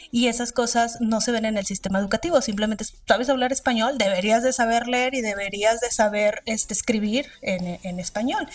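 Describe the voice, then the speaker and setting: A woman's voice: medium pitch, distant mic. A woman with a Mexican accent, an academic researcher giving explanatory interview answers and illustrating them with quoted examples.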